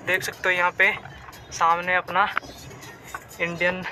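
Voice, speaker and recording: युवक, ऊँची आवाज़, बिहार से. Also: male voice, high-pitched, completely flat delivery, a little slow, music in the background. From Bihar. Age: young adult. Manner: chatty, repeats himself, mixes in English words. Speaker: travel vlogger. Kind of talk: casual travel vlog. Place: outdoors in the city, then inside a moving car. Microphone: phone held at arm's length. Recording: city traffic noise behind.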